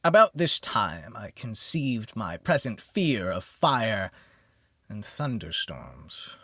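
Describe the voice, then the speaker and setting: A man narrating a gothic horror story in the first person, with a measured, theatrical and formal literary delivery. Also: fairly low pitch, big pitch swings, bright, forceful tone, no room sound. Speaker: audiobook narrator.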